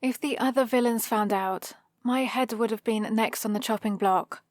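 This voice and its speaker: A British woman playing a proud, scheming supervillain with a soft spot, in a teasing, intimate ASMR roleplay. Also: fairly high pitch, normal speed, no echo, clean studio sound, lightly acted. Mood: proud, evasive. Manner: teasing, sardonic, casually conversational. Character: proud scheming female supervillain, gruffly caring for a rescued hero.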